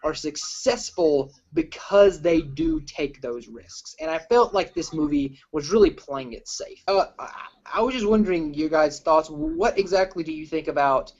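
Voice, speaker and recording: A man with a slightly high voice hosts an informal film review chat. He feels his way through sentences in a halting, rambling manner. The recording sounds a little dull and lo-fi. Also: animated, a little mellow. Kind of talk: casual group film discussion.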